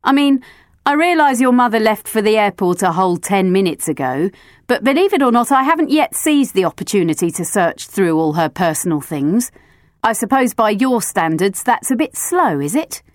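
A woman's voice: medium pitch, animated, slow, a little mellow, no echo, studio quality. A woman doing a sarcastic, stern grown woman. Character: sarcastic, firm adult woman, family friend.

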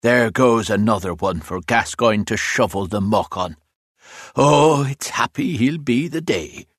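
A man's voice: medium pitch, big pitch swings, unhurried, dry recording, studio quality, pitched above the performer's own voice. A man voicing a big, caustic Irish road labourer in an audiobook, garrulous and aggrieved, with an emphatic, lilting, grumbling delivery. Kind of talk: audiobook character voice.

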